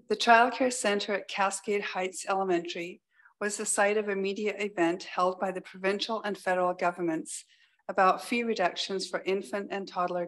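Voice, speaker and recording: A woman reads scripted community news aloud in the role of a school trustee. Her voice has a medium pitch, and the delivery is that of a prepared report for a meeting.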